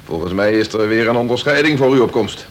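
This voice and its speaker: Actor as breezy deputy governor, faintly noisy recording. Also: male voice, on the low side, animated, fast talker, close to an everyday voice. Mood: breezy, polite. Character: deputy prison governor, brisk, deferential, teasing man. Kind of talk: Dutch radio play acting.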